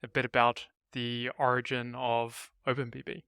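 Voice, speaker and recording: Bright, gravelly-edged male interviewer. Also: medium pitch, little pitch movement, no echo, clean studio sound. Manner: upbeat, brisk questioner. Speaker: interview host. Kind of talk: quick rapid-fire interview questions.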